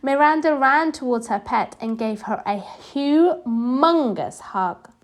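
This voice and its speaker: A woman narrates a picture book for young children with an expressive delivery. Her voice sits a little high, swings animatedly in pitch and takes its time over the words. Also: slow. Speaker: children's story reader. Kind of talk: expressive scripted storybook read-aloud.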